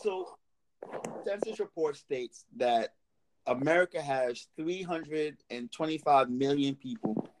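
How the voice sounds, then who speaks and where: A man in casual podcast chat, joking and animated. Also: a little high, slow, rough recording. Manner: animated joking, laughs loudly.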